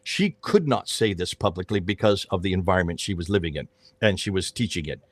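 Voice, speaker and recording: Emphatic middle-aged man, lively pitch. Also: brisk, dry recording. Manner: emphatic, repeats phrases, restarts often. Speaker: host of a panel discussion, street preacher. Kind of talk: animated casual panel talk.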